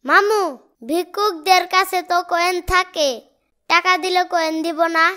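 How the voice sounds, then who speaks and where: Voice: very high voice, steady tone, moderate pace, bright, forceful tone. A performer voicing a cheeky, stubborn little cartoon boy, bold and argumentative, with a Bangladeshi accent. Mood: matter-of-fact.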